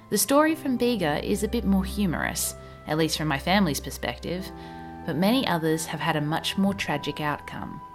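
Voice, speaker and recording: Low female voice, Australian accent, documentary storytelling, measured scripted delivery, music underneath. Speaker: history video narrator. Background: background music.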